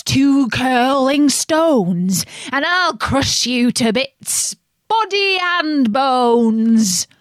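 Woman putting on a big, hoarse, fierce goat. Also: fairly high pitch, dry recording, voice pushed up. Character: big, ugly, bold billy goat, fierce and threatening.

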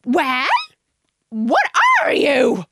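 A woman's voice: extremely high pitch, huge pitch swings, takes it slowly, very noisy, pitched above the performer's own voice, exaggerated voice. A woman voicing a frantic, scornful mother pigeon in a storybook reading, with indignant, aggrieved screeching. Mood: scornful demand.

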